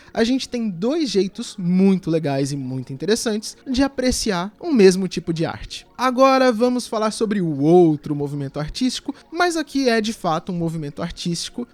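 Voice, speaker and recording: A man's voice: sits high, darkish tone, dry recording. A Brazilian man giving casual video essay commentary in a conversational, explanatory way.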